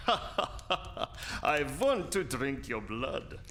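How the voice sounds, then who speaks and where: An actor playing a courtly, menacing vampire in a comic Halloween radio play. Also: male voice, medium pitch, very animated, drawn-out words, pushed and bright, full character voice. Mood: politely menacing. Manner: theatrically menacing. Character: menacing, courtly cloaked male vampire with fangs.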